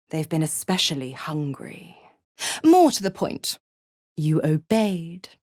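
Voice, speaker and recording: A woman's voice: mid-range voice, a little mellow, no room sound, studio quality. A woman playing a seductive, mocking devil villain in a video game, theatrical and taunting, with a cruel possessive streak. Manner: sultry, taunting, theatrical, drops into whispers. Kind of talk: dramatic video game voice acting.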